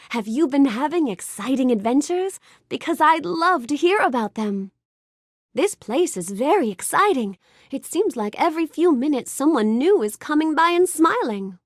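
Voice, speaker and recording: A woman playing a sweet, curious, bookish young fairy-tale heroine in a video game, speaking with gentle, courteous wonder. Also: high-pitched, no echo, studio quality. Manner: gentle, wonder-filled, courteous, expressive delivery. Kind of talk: animated game character dialogue.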